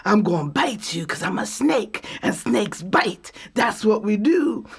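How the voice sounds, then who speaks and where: A woman voicing a sly, slow-talking male snake in a folk tale, drawling and menacing. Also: mid-range voice, sing-song, slightly rough, full character voice.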